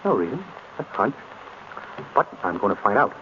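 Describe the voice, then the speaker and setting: An actor playing a wry, unflappable private detective in an old radio drama, with casual, clipped banter. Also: male voice, fairly low pitch, very animated, normal speed. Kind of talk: 1930s-40s radio drama acting. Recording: muffled old radio recording, faint noise.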